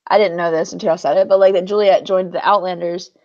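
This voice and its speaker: A woman's voice: on the low side, steady tone, fast talker, a little mellow. A woman in casual conversation, agreeable and chiming in informally. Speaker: book discussion panelist. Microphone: video call. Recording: dull lo-fi online call audio.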